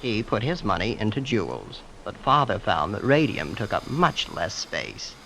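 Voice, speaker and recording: An actor playing a genteel, blandly reasonable rich heir in old radio drama style, smooth and theatrical. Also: male voice, fairly low pitch, big pitch swings, normal speed, bright, forceful tone, exaggerated voice. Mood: placating. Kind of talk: 1940s radio drama acting. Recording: noisy old radio broadcast.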